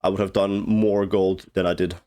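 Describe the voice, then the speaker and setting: A young man with a head cold talks through a video game walkthrough. His voice sits a bit low and sounds rusty and congested. He speaks in a loose, halting way, full of fillers and pauses to clear his throat. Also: little pitch movement, dark tone. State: hoarse with a cold, blocked nose. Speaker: game guide creator. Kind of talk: casual gameplay walkthrough commentary.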